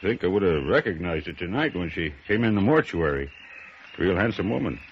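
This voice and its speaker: A man's voice: low-pitched, expressive, fairly quick. An American man playing a folksy, curious pier booth operator in a 1950s radio drama, chatty and hesitant in colloquial speech. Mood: guarded, chatty. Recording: dull, noisy old radio recording.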